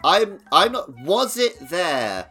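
A man's voice: high-pitched, sing-song, slow, sharp tone, husky, faint music behind. A man co-hosting a podcast, chatting casually about video games. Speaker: podcast co-host. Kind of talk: casual video game discussion.

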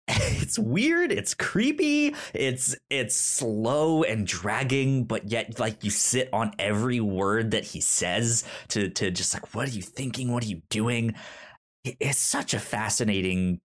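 A podcast co-host speaks in a casual, conversational way about movies. The pace is slow, and the delivery is earnest and emphatic, with frequent stammers and repeated syllables. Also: mid-range voice, lively intonation. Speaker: podcast co-host discussing films. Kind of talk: casual conversational film discussion.

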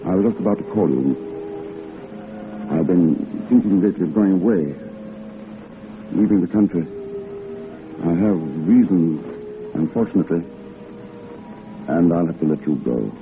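An actor playing a gentle, withdrawn war veteran hiding a secret, in restrained, halting 1940s radio drama style. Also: male voice, deep voice, lively intonation, phone-quality sound. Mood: uneasy. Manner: restrained, halting, politely evasive. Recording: old band-limited radio recording with background music.

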